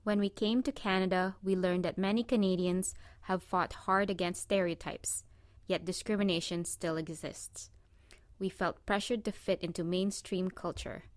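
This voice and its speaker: Low-voiced woman reading a script. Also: steady tone, no echo. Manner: measured reading aloud. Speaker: presenter. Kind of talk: scripted narration.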